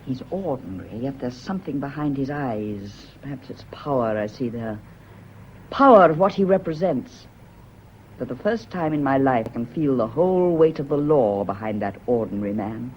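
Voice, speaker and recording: A woman's voice: rumbling low, lively intonation, moderate pace. An actress playing an anxious, guilt-haunted woman with a British accent, nervous and brooding in a radio drama. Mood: brooding, fearful. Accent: British English. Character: anxious, guilt-haunted woman, former live-in servant or companion. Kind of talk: British stage-style radio drama acting. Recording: dull, hissy old radio recording.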